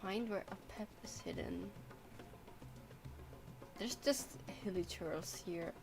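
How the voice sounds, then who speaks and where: A woman gives casual commentary while she plays a video game. Her voice sits at a middling pitch and she takes her time, rambling a little to herself. Faint game music plays behind her. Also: slow. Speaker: game streamer.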